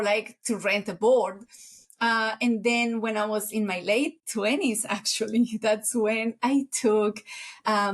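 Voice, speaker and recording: A woman, chatting as an interview guest in an unhurried way, often searching for words. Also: fairly high pitch. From Colombia. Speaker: guest surfer. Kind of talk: casual interview chat.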